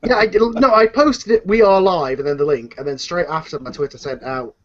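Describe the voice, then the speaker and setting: A man's voice: sits high, steady tone, fairly quick, a crowd in the background. Muffled man hosting a casual livestream. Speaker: host of a live online Q and A. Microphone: video call. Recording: muffled lo-fi internet video call.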